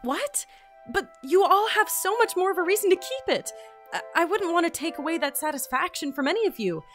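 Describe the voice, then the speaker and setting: A woman's voice: very high voice, sing-song, fairly quick, a bit bright, faint music behind, exaggerated voice. A woman playing an eager, awkward, well-meaning agent in an audio drama, hesitant and informal. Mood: flustered. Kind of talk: audio drama dialogue and mission-log narration.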